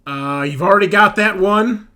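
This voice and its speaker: A man's voice: sits high, moderate pace, crowd noise. A man acting as game master, explaining rules and results in a tabletop roleplaying game.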